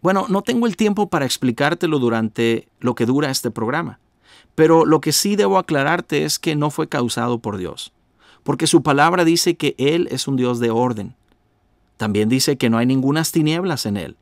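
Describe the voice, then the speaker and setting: A man in his thirties giving a calm, measured radio teaching, often asking the listener direct questions. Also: mid-range voice, no room sound, clean studio sound. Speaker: pastor and radio host.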